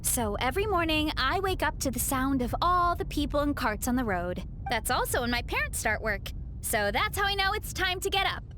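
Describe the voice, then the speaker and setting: A friendly young woman athlete chatters in a high, bright voice that rises and falls a lot. She sounds eager and a little sleepy-natured, with game music softly behind her. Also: very animated, brisk, slight background noise, exaggerated voice. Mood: chatty. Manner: bright, bubbly and earnest. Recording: game audio with faint music.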